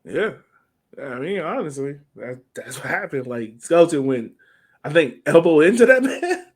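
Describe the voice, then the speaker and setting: A man's voice: a little high, sing-song, moderate pace, slightly rough. A man co-hosting a soccer podcast in an informal, conversational way, chatting casually about the game. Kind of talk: casual sports chat.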